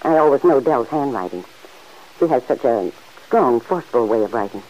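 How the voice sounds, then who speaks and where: A woman playing a kindly middle-aged farm aunt who gently chides the man in her home, in 1940s radio acting. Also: a bass voice, lively intonation. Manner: gently chiding, motherly, quick to laugh. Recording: dull old radio recording.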